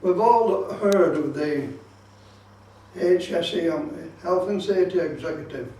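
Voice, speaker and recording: A man's voice: high voice, measured pace, warm tone. A man giving an informal hands-on club talk, explaining things and repeating his safety warnings insistently. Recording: very echoey room, distant microphone.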